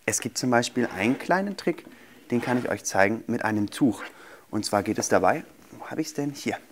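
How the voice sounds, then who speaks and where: A man's voice: medium pitch, animated, fairly quick, background noise. A man in his thirties, a magician guest on a children's show, explaining things simply to young viewers. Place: small indoor TV studio set. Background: background music.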